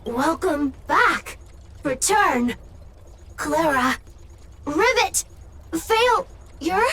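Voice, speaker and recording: A woman voicing a small, childlike, malfunctioning robot in a video game, speaking in repeated, halting single words. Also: high voice, animated, fairly bright tone, exaggerated voice. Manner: halting one-word utterances, repetitive. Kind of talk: video game character dialogue. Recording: faint game music behind the voice. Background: faint game music.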